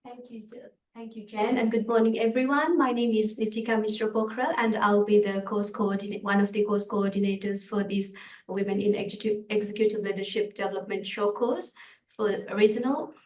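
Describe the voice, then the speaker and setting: A woman from Nepal introduces herself on a video call in an earnest, formal way. Her voice sits at a middling pitch and sounds echoey and set back from the microphone. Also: steady tone, brisk, a little mellow, recorded from a distance. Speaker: course coordinator.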